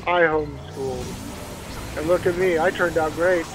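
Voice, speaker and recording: A man's voice: fairly high pitch, unhurried, over music, poor audio. A man co-hosting a casual gameplay commentary, joking and bantering playfully. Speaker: gaming co-host.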